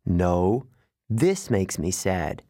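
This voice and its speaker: A man voicing an earnest, idealistic young male satyr who sounds wistful and quick to take offense. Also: low-pitched, big pitch swings, dark tone, no echo, clean studio sound, full character voice. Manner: wistful, indignant about pollution.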